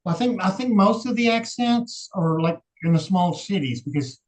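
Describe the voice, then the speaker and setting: A man from Texas co-hosting a sewing livestream over a video call, chiming in with quick jokes. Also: high-pitched, animated. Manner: quick joking interjections. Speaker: livestream co-host. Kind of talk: casual livestream chat.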